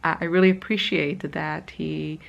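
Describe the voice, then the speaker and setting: A woman's voice: low-pitched, steady tone, normal speed, creaky, muffled recording. A woman in her thirties with a slight Israeli accent, chatting casually in a vlog from her hotel room, rambling with lots of fillers and sometimes dropping to a whisper. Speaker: art vlogger.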